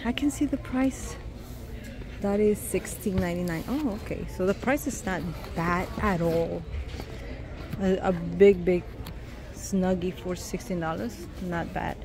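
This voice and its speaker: A young woman chatting casually and excitedly to her phone camera while shopping and then eating out. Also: fairly low pitch, unhurried. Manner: chatty, excited, exclaims over cute things. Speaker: vlogger. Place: busy store and fast-food restaurant. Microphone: handheld phone camera. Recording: noisy public place ambience.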